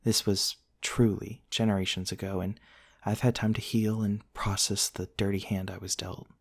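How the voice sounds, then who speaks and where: A man playing a gentle, courteous centuries-old vampire prince in an intimate ASMR roleplay, soft-spoken and formal, with hesitant pauses. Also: fairly low pitch, level tone, no room sound. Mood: tender, soothing. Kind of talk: intimate ASMR roleplay, storytelling to one listener.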